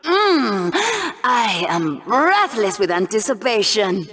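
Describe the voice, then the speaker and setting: A woman's voice: medium pitch, big pitch swings, takes it slowly, fairly bright tone. An actress playing a mocking, sweetly sadistic female co-anchor doing sports commentary in a video game. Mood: sardonic. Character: sultry, sardonic female co-anchor with a cruel streak. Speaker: co-host commentator.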